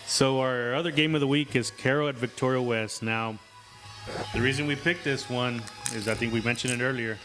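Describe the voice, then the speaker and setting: A man co-hosting a casual sports chat in an easygoing, steady way. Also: mid-range voice, fairly quick, over music, low-quality recording. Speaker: co-host.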